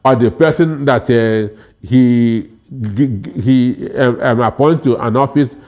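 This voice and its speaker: A Nigerian man offers political analysis at a very slow pace. He stammers and hesitates heavily between phrases, and the sound is dull and lo-fi. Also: medium pitch. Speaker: political analyst panel guest. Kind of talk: political commentary.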